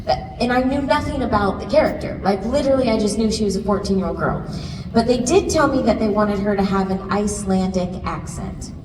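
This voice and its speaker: A woman voice actor on a convention panel, telling a lively story with quick laughs. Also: mid-range voice. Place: large convention hall. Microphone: handheld mic, recorded from far off in the audience. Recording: echoey hall recorded from far off, noisy.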